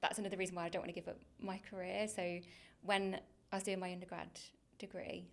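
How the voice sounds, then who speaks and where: A woman in her twenties from Leicester with a faded Leicester accent, chatting casually as a podcast guest, with many ums and a habit of trailing off quietly. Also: lowish voice, little pitch movement, fast, gravelly. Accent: faded Leicester English. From Leicester, England. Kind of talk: casual interview chat.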